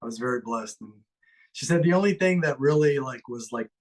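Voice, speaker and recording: A man's voice: mid-range voice, animated, fairly quick, low-quality recording. A man from Ecuador, a musician guest, talking earnestly and gratefully in a rambling way. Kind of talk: casual interview chat.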